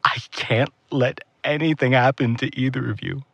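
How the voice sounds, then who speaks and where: A man's voice: medium pitch, fairly even intonation, moderate pace, no echo, close to an everyday voice. A man acting in an audio drama as an earnest, exhausted and protective older brother, speaking with feeling. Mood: anxious, stern.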